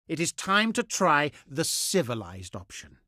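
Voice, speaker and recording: A man playing a haughty, cultured ancient Etruscan diplomat in a strategy game, speaking with formal, pompous, deliberate emphasis. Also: a little high, wildly swooping pitch, sharp tone, no echo, studio quality, heavily acted. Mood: lofty persuasion. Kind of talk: theatrical scripted game voice acting.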